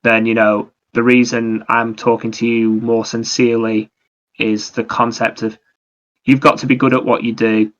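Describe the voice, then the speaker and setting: A man's voice: medium pitch, little pitch movement, normal speed. A man chatting casually about video games as a podcast host, explaining things in a rambling way. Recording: dull, lo-fi microphone sound.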